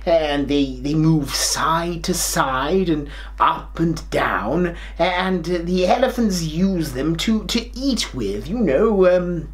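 Slow, theatrical grandad king storyteller played by a man. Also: a little high, a little hiss or hum. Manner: theatrical, expressive, with hammed-up hesitations and chuckles. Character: kindly, jolly, forgetful old grandad king. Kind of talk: children's storytelling.

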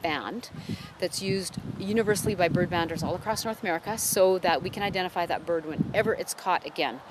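A woman biologist explaining birds and research outdoors, in a clear, patient way. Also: on the low side, brisk, noisy background. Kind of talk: explaining on camera. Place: outdoors on a farm.

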